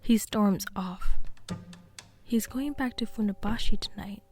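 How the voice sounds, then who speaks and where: A woman narrating a radio drama in the second person, with deliberate phrasing and hushed, breathy passages. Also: medium pitch, faint music behind. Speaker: narrator and host.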